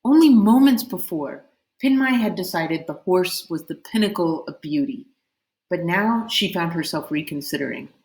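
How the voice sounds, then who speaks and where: A woman reading a children's novel aloud in expressive, dramatic storybook narration. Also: lowish voice, moderate pace. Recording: roomy, echoing indoor sound.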